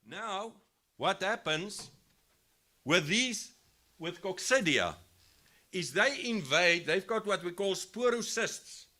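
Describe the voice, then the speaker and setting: A man's voice: high voice, expressive, slow, pauses often. A man with a South African accent giving a live expo lesson on livestock health to farmers, explaining step by step and often restarting his phrases. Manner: says he has a loud voice. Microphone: handheld mic, later speaks without it.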